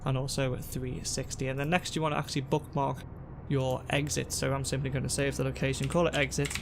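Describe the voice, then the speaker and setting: A young man with a sore throat and a congested voice, calmly explaining a game to viewers on a headset mic. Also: medium pitch, brisk, creaky, background noise. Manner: relaxed, explanatory, talks to viewers. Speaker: gaming youtuber. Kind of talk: casual gameplay tutorial. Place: home room at a desk.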